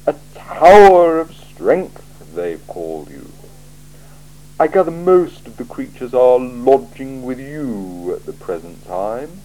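A cool, sardonic male city ruler, put on by a man reading aloud. He speaks slowly and drily, weighing each word, in a mellow tone that rises and falls widely. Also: medium pitch, big pitch swings, pitched above the performer's own voice, poor audio. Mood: dry. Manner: slow, measured, dry and probing. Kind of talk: audiobook character voice.

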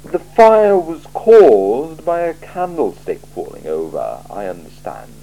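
A cool, sardonic male city ruler, put on by a man reading aloud. He speaks slowly and drily, weighing each word, in a mellow tone that rises and falls widely. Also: mid-range voice, wildly swooping pitch, vocal fry, voice pushed up, exaggerated voice, rough recording. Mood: dry. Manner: slow, measured, dry and probing. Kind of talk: audiobook character voice.

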